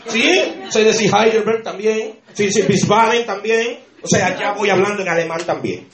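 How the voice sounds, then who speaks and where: A man with a Dominican Spanish accent, a pastor preaching an exuberant sermon with shouts of praise and laughter. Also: high voice, normal speed, a crowd in the background. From Dominican Republic. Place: church hall. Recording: echoey church with congregation.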